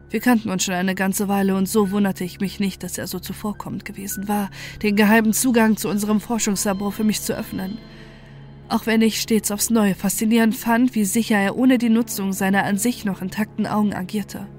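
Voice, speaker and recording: A woman reads a scripted horror tale as narrator. Her voice sits at a medium pitch, and her phrasing is deliberate and dramatic. Quiet music plays beneath her. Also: steady tone. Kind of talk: scripted horror story narration, first person.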